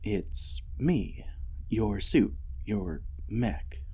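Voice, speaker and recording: A man voicing a gentle, devoted mech AI in a soft, soothing, earnest ASMR roleplay. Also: lowish voice, lively intonation, extremely slow, slightly rough, exaggerated voice. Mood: gentle, reassuring. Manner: soothing, earnest, hesitant, often dropping to a whisper. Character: gentle, devoted mech artificial intelligence comforting its pilot.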